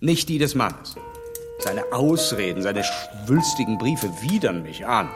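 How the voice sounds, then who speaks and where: An actor playing a stern, blunt gentleman who lectures scornfully, in a radio drama. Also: fairly low pitch, big pitch swings, unhurried, long reverb tail, over loud music. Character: stern upright gentleman, blunt moral scold.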